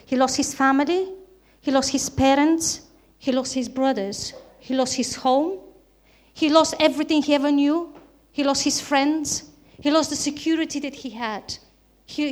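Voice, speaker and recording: A woman from Bulgaria, a native Bulgarian speaker with a Bulgarian accent, preaching a sermon in an earnest style with repeated emphatic phrases. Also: sits high.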